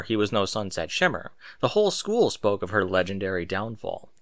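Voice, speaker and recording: A man narrates a story expressively, his mid-pitched voice rising and falling a great deal. The sound is dry, with hardly any room in it. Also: a bit bright, no echo. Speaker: fan fiction narrator.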